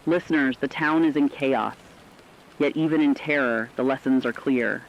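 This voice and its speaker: A man's voice: mid-range voice, normal speed, lo-fi sound, a little hiss or hum, natural voice. A man playing a solemn radio host, warning listeners of supernatural doom in portentous, emphatic scripted narration. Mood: solemn, foreboding.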